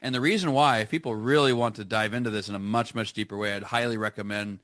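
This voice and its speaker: A man's voice: lowish voice, steady tone, quick pace, fairly bright tone, dry recording, studio quality. A man as a guest on a live radio show, chatting enthusiastically with plenty of fillers and restarts. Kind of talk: casual radio conversation.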